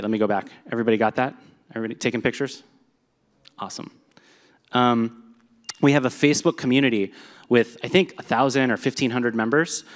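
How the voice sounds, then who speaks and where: A man presents live to a room full of people, keeping it upbeat and chatty with the odd "um". His voice sits at an ordinary pitch. The sound rings with long reverb from a large hall. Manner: upbeat, conversational, with fillers. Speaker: presenter at a company meetup.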